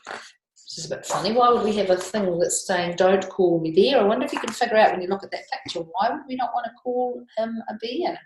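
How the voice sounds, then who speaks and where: A woman's voice: medium pitch, quick pace, background noise. A woman with a New Zealand accent reading picture books to children, adding chatty, questioning asides, in an echoey room. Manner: questioning asides to young listeners, chuckling. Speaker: children's storytime reader. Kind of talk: picture-book read-aloud with chatty asides.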